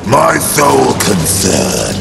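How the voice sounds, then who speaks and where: An actor playing a lazy, bored demon of sloth in a game, gravelly, drawling and sarcastic. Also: male voice, fairly low pitch, wildly swooping pitch, slow pace, heavily acted. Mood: bored, languid. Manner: languid, drawling, sarcastic, theatrical. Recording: echoey, loud music underneath.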